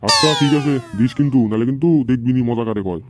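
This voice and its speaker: Gruff cartoon tea-stall keeper, acted, mid-pitched, quick and mocking, with music underneath. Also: lightly acted. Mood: wary, needling. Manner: blunt, mocking, scolding. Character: gruff, scolding adult tea-stall keeper, proud parent. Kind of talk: comedy cartoon dialogue.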